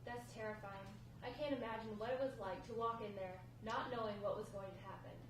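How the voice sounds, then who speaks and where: A woman's voice: a little high, fairly even intonation, fairly quick, lightly acted. A woman reading the part of a worried, doubting wife in a drama script table read. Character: Lauren, worried, doubting wife of a gang recruit. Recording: very echoey room, distant mic, faint noise.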